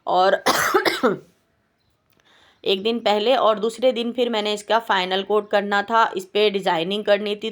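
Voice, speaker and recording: A woman with a sore, coughing throat, chatting in a rambling, plaintive voice-over for her cooking channel. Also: medium pitch. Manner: plaintive, complaining, rambling with hesitations. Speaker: home baker and cooking channel host. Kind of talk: voice-over vlog chat. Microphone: home voice-over mic.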